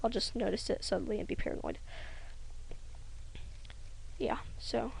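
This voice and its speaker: A young woman rambling hesitantly over a drawing tutorial, often trailing off into soft near-whispers. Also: a little high, moderate pace, vocal fry, a little hiss or hum, low-quality recording. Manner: rambling, hesitant, self-conscious, many ums. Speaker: hobby animator.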